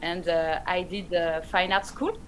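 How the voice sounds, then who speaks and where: French-accented woman, halting interview answers. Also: lowish voice, steady tone, slight background noise. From France. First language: Arabic and French. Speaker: guest, independent pop rock musician.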